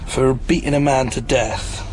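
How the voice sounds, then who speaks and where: A man's voice: mid-range voice, steady tone, measured pace, a little mellow, slight background noise, lightly acted. An actor playing a plain-spoken, wry male local policeman in a radio drama, matter-of-fact and familiar. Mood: dry, matter-of-fact.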